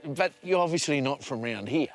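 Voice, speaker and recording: A man's voice: on the high side, animated, fairly quick. A man with a New Zealand accent hosting a travel show, talking in a jokey, friendly way with the people he meets. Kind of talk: casual chat and interviewing.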